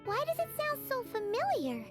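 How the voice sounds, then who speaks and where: A woman voicing a small, excitable female sprite companion in a game, bubbly and chatty. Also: very high-pitched, normal speed, heavily acted. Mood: excited. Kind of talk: game cutscene voice acting. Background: game music.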